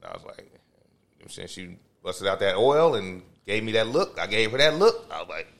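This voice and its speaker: Brief: fifties male podcast co-host, low-ish, animated pitch, loose storyteller who repeats himself. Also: brisk, gravelly. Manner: rambling storyteller, repeats and restarts phrases. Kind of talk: casual chat, crude storytelling.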